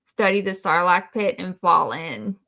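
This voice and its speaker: A woman's voice: fairly low pitch, expressive, a little slow, creaky, pushed and bright. A woman co-hosting a casual review chat, blunt and wry with her opinions. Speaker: podcast co-host.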